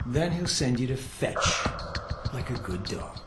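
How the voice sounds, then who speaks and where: A man's voice: mid-range voice, big pitch swings, unhurried, heavy background noise. A man playing a curt, condescending intelligence analyst in a video game, with dry, clipped, formal delivery. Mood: dryly scornful. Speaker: intelligence analyst and mission handler. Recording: roomy echo, loud game music behind.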